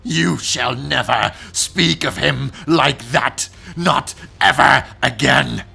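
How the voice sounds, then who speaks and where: Grandiose old cult villain, sharp forceful tone, unhurried pompous preaching, dramatic comic dub. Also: male voice, mid-range voice, gravelly, slightly rough, soft background music, exaggerated voice. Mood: menacing, proud. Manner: pompous, preaching, theatrical delivery. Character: fanatical old male zealot villain, grandiose and righteous.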